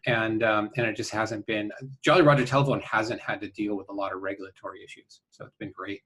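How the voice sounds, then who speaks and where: A man answering interview questions conversationally, earnest and halting, often checking in with a "right?". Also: lowish voice, steady tone, a bit bright, rough recording. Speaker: interview guest, company founder.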